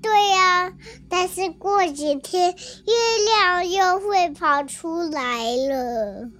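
A storyteller voicing a stubborn little rabbit in a children's audio story, with a childlike, drawn-out delivery. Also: very high voice, lively intonation, pushed and bright, soft background music, pitched above the performer's own voice, exaggerated voice. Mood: matter-of-fact.

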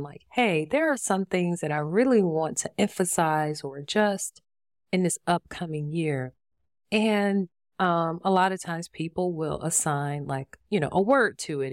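Raspy-voiced woman podcast host. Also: fairly low pitch, dry recording, clean studio sound. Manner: chatty and conversational, with frequent fillers and asides. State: raspy from allergies. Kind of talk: casual solo podcast monologue.

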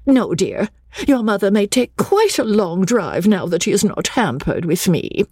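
A woman voicing a fussy, apologetic older spinster who talks in a fluttery, over-polite way, with a husky voice. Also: mid-range voice, sing-song, a little slow, mellow, no room sound, studio quality, voice pushed up, full character voice.

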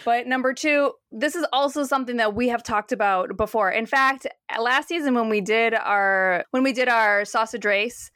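A woman's voice: on the high side, moderate pace, hardly any pauses, fairly bright tone, smooth, no room sound, clean studio sound. A woman co-hosting a baseball fan podcast, chatting casually in a frank, matter-of-fact way. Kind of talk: casual chat.

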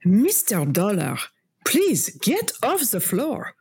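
Formal, highly expressive plot leader. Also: female voice, takes it slowly, breathy edge, dry recording, studio quality, full character voice. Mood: composed. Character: composed, formal female leader of a secret plot. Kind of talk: audio drama acting.

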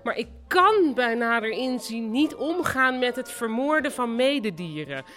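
A woman's voice: fairly high pitch, animated, a little slow, a bit bright, soft background music. An actress playing an earnest, self-righteous young woman in a live audio drama, narrating and speaking with wry sincerity. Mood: earnest, uneasy.

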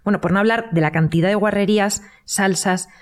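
An actress with a Castilian Spanish accent playing an incredulous, outspoken dinner guest in a naturalistic, conversational audio drama. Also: female voice, medium pitch, steady tone, fairly quick, lightly acted. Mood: emphatic, surprised. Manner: conversational, emphatic.

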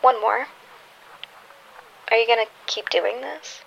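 Audio drama: wry, guarded heroine played by a woman, gravelly fry, terse and dry, dull lo-fi sound. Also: fairly high pitch, slow pace, a bit bright, a little hiss or hum, heavily acted. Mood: dry, curious. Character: guarded, wry, wounded female superhero with dangerous gaze. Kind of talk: naturalistic audio drama acting.

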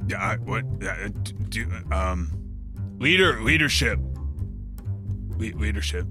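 A man's voice: fairly low pitch, lively intonation, over music. A man playing a suspicious, boozy, cocky slacker contestant who stammers and repeats himself in a comedy audio drama interview. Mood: awkward, muddled. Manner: halting, stammering, repetitive, evasive.

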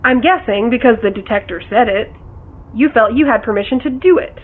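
A woman's voice: a little high, brisk. An actress playing a blunt, exasperated but caring woman scolding her partner over a phone in an audio drama. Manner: blunt, reproachful. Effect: phone call filter. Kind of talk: naturalistic audio drama dialogue. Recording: thin, band-limited phone line.

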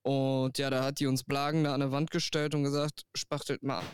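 A man's voice: medium pitch, little pitch movement, fairly quick, clear, resonant tone, dry recording, studio quality. A young man co-hosting a casual podcast over a voice call, laid-back and joking. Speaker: podcast co-host. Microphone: voice call over the internet.

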